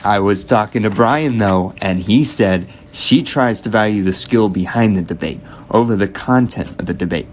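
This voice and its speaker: A catty, chatty teenage boy played by a man dishes gossip in a fairly low voice. It is radio drama acting with noise and rumble in the recording. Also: moderate pace, hardly any pauses, lightly acted. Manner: sassy, chatty.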